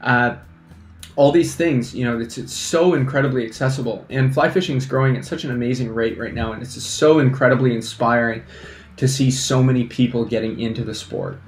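A young man, a fly fishing instructor, giving energetic casual advice to camera at a desk microphone, often checking in with "right?". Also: medium pitch, a little fast, echoey room, faint music behind. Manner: energetic, animated hand gestures, lots of "right?". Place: small indoor studio against a plain backdrop. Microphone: desk microphone in front.

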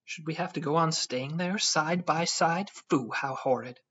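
Flighty, pouting young wife with a high husky tone, read by a man on a dull lo-fi track. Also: a little slow, sharp tone, full character voice. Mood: indignant. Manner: raised, whiny, petulant delivery. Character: petulant pretty young wife, flighty and fretful.

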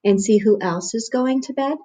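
A woman voicing a gentle, patient mother polar bear in a storybook, soft, soothing and unhurried. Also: a little high, close to an everyday voice.

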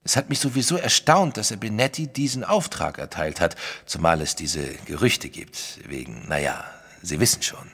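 An actor playing a proud, stubborn gentleman art collector, huffy and emphatic, in a German audio drama. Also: low-pitched, lively intonation, moderate pace, husky, big-room sound, full character voice. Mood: confiding. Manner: huffy, emphatic, self-satisfied.

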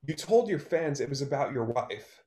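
A man's voice: mid-range voice, lively intonation, moderate pace, a little mellow, lightly acted. A young man playing a bitter, heartbroken songwriter, curt and guarded, in a play read over video call. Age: young adult. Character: Liam, bitter, heartbroken male songwriter, guarded toward former love. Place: plain-walled room at home, indoors.

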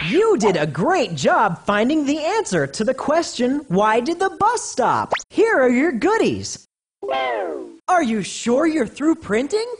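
A man voicing an upbeat young cartoon rabbit who guides children through an educational game, bouncy, encouraging and clearly enunciated. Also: extremely high pitch, heavily acted. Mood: excited, playful. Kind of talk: animated educational game voice acting.

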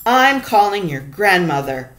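A woman voicing a grumpy old male shopkeeper in a storybook read-aloud, scolding loudly. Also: mid-range voice, very animated, unhurried. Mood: angry. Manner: loud, scolding.

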